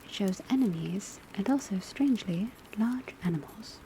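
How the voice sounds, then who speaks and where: Woman acting as a softly whispering witch-school professor. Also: mid-range voice, animated, natural voice. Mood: calm, instructive. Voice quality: soft, breathy whisper. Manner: measured, instructive, hushed. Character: soft-spoken female professor of divination at witch school. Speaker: teacher. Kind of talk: ASMR classroom roleplay, soft whispered lecture. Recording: close ASMR recording with background ambience noise. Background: crackling fireplace, pencil writing, rain on rooftop.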